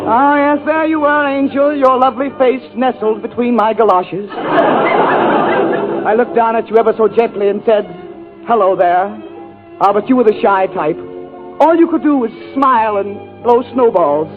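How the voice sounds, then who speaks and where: Guy hamming up a fake love speech, real high voice, old tinny radio sound with a crowd laughing. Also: measured pace. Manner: mock-romantic hammy recitation. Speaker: comedy show star. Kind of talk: 1940s radio comedy monologue. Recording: tinny 1940s radio broadcast with band and audience. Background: orchestra music and studio audience.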